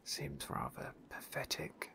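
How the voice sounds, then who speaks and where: A man whispering ASMR narration of a video game playthrough in a soft, unhurried, genteel way.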